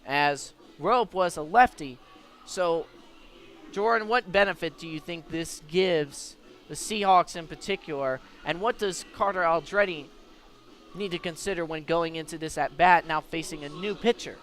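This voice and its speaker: A man's voice: fairly high pitch, normal speed, fairly bright tone. A man doing live radio play-by-play as a sports announcer. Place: sports venue broadcast position. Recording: live sports broadcast with venue noise. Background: clear ambient venue noise.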